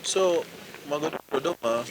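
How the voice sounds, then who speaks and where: A middle-aged man from Tanzania, a company managing director explaining his business in a measured way and switching between languages. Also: a little high, lively intonation. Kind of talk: business presentation. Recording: noisy on-site recording.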